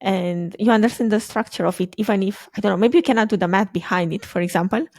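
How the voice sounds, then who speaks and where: A woman's voice: medium pitch, fast talker, creaky, darkish tone, dry recording, clean studio sound. A young woman from Romania, a native Romanian speaker, chatting as a guest on a video-call interview, laughing often and hesitating with fillers. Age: young adult. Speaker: guest, quantum computing researcher. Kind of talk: casual interview conversation. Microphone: video call microphone.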